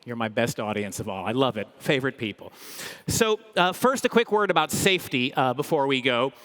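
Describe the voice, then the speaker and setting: A man hosting a live event as a comedian, speaking in an upbeat, energetic, joking way with playful mock boasts. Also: mid-range voice, fairly quick. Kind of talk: humorous live stage presentation. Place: large indoor event hall, live audience. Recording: echoey big hall, long reverb.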